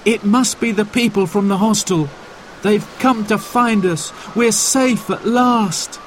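A man voicing a brave, excited young boy in a storybook reading. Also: high voice, slow pace, a little hiss or hum, voice pushed up. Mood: relieved. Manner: urgent, excited exclamations.

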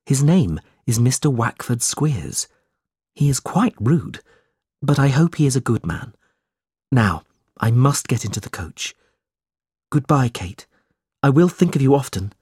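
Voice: mid-range voice, big pitch swings, unhurried, pauses often, darkish tone, husky, dry recording, clean studio sound. A storybook reader voicing a polite, eager young man with a courteous, earnest manner.

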